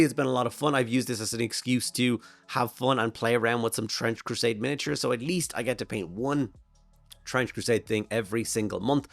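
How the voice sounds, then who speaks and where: A hoarse Irish man with a flu-worn voice talks casually through a miniature painting tutorial, rambling and thinking aloud with plenty of fillers. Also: medium pitch, no echo. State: hoarse from a flu and throat infection. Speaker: miniature painting channel host.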